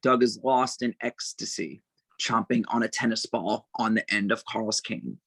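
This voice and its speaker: A man's voice: fairly low pitch, unhurried, creaky, a bit bright, husky, dry recording. A man reading stage directions as scripted narration at an informal table read.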